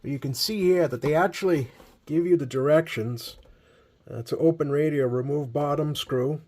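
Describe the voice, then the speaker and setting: A man giving a casual, unscripted hands-on commentary as a hobbyist repairer, easygoing and halting as he thinks aloud. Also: fairly high pitch, a little mellow. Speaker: radio repair hobbyist hosting a video.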